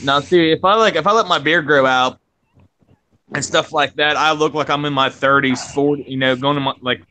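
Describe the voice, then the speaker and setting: A man's voice: fairly high pitch, moderate pace, sharp tone, slight background noise. A young man co-hosting a live stream over a video call, chatting casually, joking and swearing freely. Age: young adult. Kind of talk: casual chat.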